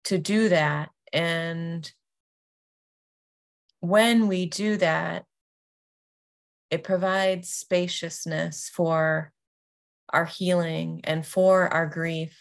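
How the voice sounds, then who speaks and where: A woman guides a meditation at an extremely slow pace. Her voice sits slightly low and has a clear creak to it. She phrases things gently and deliberately, leaving long pauses between short phrases. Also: steady tone. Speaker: yoga nidra teacher.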